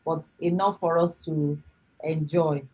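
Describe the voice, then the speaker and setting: A woman's voice: deep voice, fairly even intonation, slow, dark tone, breathy edge, rough recording. A woman talking casually as she presents at an online event. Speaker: screen-sharing event presenter.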